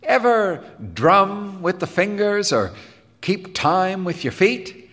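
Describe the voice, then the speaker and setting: A man voicing an earnest, well-meaning, idealistic music teacher in an audiobook, gentle and a little rhapsodic. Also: medium pitch, sing-song, takes it slowly, long reverb tail, heavily acted. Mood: bright, eager. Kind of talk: audiobook character dialogue.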